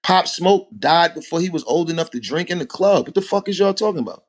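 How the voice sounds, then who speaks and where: A man, a rapper telling stories as a podcast guest in a relaxed, animated way. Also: on the high side, brisk. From Harlem, New York. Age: 40s. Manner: animated storytelling, rhetorical asides. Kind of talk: casual podcast conversation.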